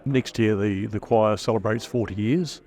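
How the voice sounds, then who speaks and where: A man's voice: lowish voice, normal speed, darkish tone. A man from the choir speaking steadily, heard from a distance. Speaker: choir member. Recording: voice picked up from a distance.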